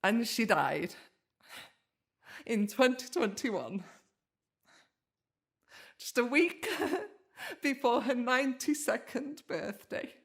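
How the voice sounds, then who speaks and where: An actress playing a caring, sentimental middle-aged English daughter in a naturalistic audio drama, tender and conversational. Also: female voice, fairly high pitch, expressive, slow, creaky, long pauses, heavily acted. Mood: tearful, fond.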